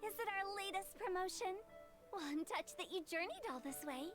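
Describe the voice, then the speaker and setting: A woman's voice: very high voice, animated, brisk, over music. A woman voicing an eccentric, mischievous young funeral parlor director in an anime video game, wordy and theatrical. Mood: playful, theatrical.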